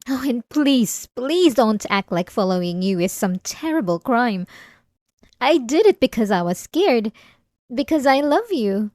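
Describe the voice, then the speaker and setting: A woman playing an obsessive, possessive, delusional wife in an intimate ASMR roleplay, coaxing and wounded, with sighing pauses. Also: on the high side, dry recording, studio quality.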